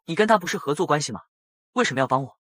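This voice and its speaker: A man's voice: a little high, expressive, racing, fairly bright tone, no echo, clean studio sound. A man voicing a defiant, hot-headed young working-class hero in an audio comic drama. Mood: determined.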